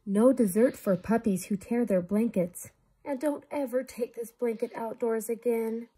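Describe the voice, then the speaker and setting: A woman's voice: on the high side, a little slow, dark tone, husky. A woman voicing a firm but caring mother dog in a storybook read-aloud. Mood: scolding. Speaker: guest reader.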